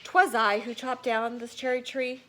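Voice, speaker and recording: A woman's voice: a little high, little pitch movement, a little slow, sharp tone, slight background noise, rough recording. A woman voicing an honest, cheeky colonial boy who declares things formally, in a storybook reading. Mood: earnest confession.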